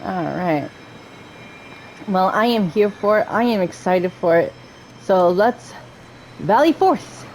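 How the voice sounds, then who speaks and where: A woman's voice: medium pitch, expressive, slow, long pauses, a little mellow, slight background noise, rough recording. A woman hosting a gaming video, chatting casually and thinking aloud as she plays and reads quest text. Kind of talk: casual let's play commentary, reading quest dialogue aloud. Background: video game music.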